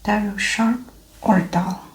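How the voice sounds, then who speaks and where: A woman speaking softly and breathily in an ASMR medical roleplay, gently explaining each step as a doctor. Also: medium pitch, steady tone, slow. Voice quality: soft, breathy, whispery. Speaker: ASMR performer playing a doctor. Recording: roomy echo, faint background noise.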